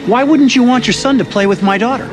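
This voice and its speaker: A man's voice: very high-pitched, fast talker, music in the background. An actor playing a menacing, aggrieved middle-aged father who questions people accusingly in a television drama. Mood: accusing, bitter.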